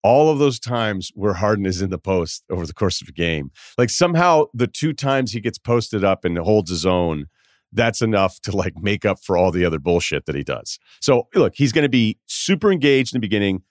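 A man's voice: fairly low pitch, big pitch swings, moderate pace, dry recording, clean studio sound. A man hosting a sports podcast, giving informal, wry, conversational commentary.

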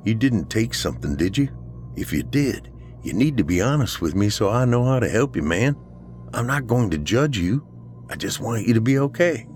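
A man's voice: fairly low pitch, very animated, moderate pace, darkish tone, soft background music, pitched above the performer's own voice. A man playing a worried, loyal friend in a horror story, earnest and colloquial, easily exasperated. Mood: concerned. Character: worried, loyal male friend, rattled but practical. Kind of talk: audiobook character dialogue, horror story.

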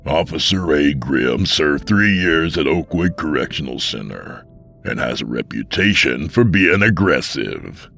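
An actor playing a calm, commanding prison sergeant in a horror audio story, gravelly, unhurried and menacingly composed. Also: male voice, a bass voice, soft background music, heavily acted. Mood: matter-of-fact.

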